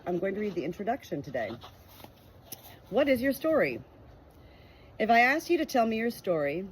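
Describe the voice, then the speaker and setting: A woman's voice: lowish voice, fairly bright tone, slight background noise, low-quality recording. Earnest woman reading a faith book aloud. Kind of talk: reading a nonfiction book aloud with personal reflection.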